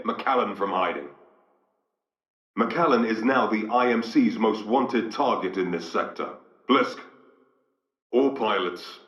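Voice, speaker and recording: A man's voice: mid-range voice, fairly bright tone, lo-fi sound, lightly acted. Casting: male actor as authoritative middle-aged fleet commander for a sci-fi shooter game; clipped, formal delivery, slightly distant echoey sound. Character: stern, authoritative male military vice admiral commanding troops. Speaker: military commander. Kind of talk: video game military briefing voice acting.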